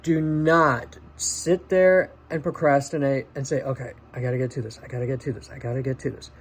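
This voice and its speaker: A man's voice: medium pitch, fairly even intonation, fairly quick. A man talking casually and directly to the listener like a friend, in an encouraging, conversational motivational vlog style. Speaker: vlog host.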